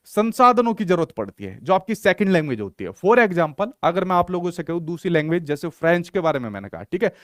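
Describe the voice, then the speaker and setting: A man's voice: high-pitched, big pitch swings, quick pace, few pauses, a bit bright, no room sound, studio quality. A young male teacher lecturing in an online exam-prep class, repeating points and asking rhetorical questions he answers himself. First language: Hindi.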